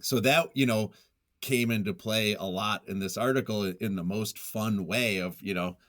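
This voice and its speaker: A man's voice: fairly low pitch, moderate pace, a bit bright, no echo, clean studio sound. A man speaking as a writer being interviewed, casual and conversational, with a goofy, self-deprecating manner. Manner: self-deprecating, goofy, chuckles often while talking. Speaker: interview guest, writer and journalist.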